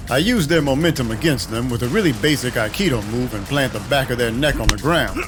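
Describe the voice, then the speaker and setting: An actor playing a sardonic, brooding vampire detective in an audio drama, speaking with wry control and quiet menace. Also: male voice, medium pitch, lightly acted. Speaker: narrator and lead character. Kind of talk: first-person noir-style audio drama narration.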